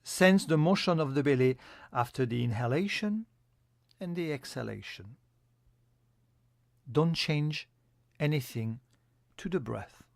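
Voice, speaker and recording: A man giving a guided yoga nidra meditation as an instructor, in a calm, soothing, instructional manner. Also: a little high, unhurried, creaky, long pauses, dry recording, studio quality.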